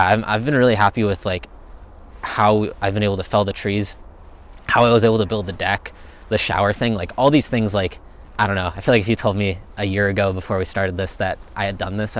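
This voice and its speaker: Laid-back low-voiced young man. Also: fairly even intonation, brisk. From United States. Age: young adult. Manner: laid-back, rambling, thinks aloud. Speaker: vlogger answering viewer questions. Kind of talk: casual Q&A chat.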